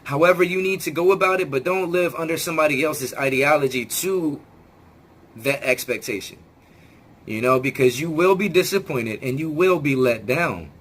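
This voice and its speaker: A man talking straight to his viewers in a rambling self-help vlog, often restarting words and swearing casually, his voice still hoarse as it comes back. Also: fairly high pitch, normal speed, fairly bright tone. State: hoarse, regaining lost voice. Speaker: video channel host.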